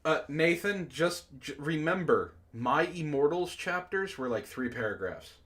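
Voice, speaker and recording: A man's voice: fairly high pitch, animated, measured pace, fairly bright tone. A man hosting a comic book club, reading a story aloud and breaking off with laughing, exclaiming asides.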